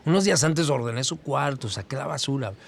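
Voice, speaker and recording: Man as a gravelly, bemused cleaning worker. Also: medium pitch, a little fast, slight background noise, close to an everyday voice. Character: bemused cleaning worker recalling a strange morning. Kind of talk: casual acted documentary testimony.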